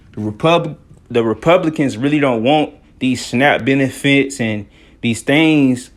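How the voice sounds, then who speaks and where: A man from the United States giving informal, slangy commentary on news and scripture, often punctuating his talk with filler phrases. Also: mid-range voice. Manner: conversational, slangy, repeats "all right" and "you know". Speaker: religious commentator.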